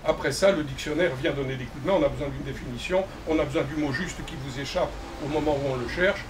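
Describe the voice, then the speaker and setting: A man who works as a literary translator, speaking at a bookshop panel in a measured, reflective way with frequent restarts. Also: fairly high pitch. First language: not Romanian. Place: bookshop event. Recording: distant mic with faint ambient noise.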